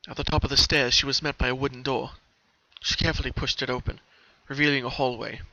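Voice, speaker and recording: Man, story narrator, bright forceful voice, mid pitch, dramatic scripted reading, slightly muffled lo-fi recording. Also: flat delivery, fast talker, no room sound.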